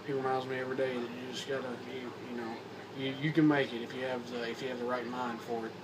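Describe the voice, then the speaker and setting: A young man from Kentucky with a Southern accent, a bit hoarse from a cold, rambling in a casual talk to camera with many restarts and fillers. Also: medium pitch, flat delivery. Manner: rambling, halting with repeats and fillers. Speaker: vlogger. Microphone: phone or camera mic. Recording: distant camera mic with background noise.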